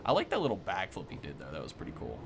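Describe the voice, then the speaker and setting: A man's voice: sits low, wildly swooping pitch, racing, creaky, background noise. A man giving casual, off-the-cuff game commentary in an informal way, thinking aloud as he goes. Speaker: gaming content creator giving a first look.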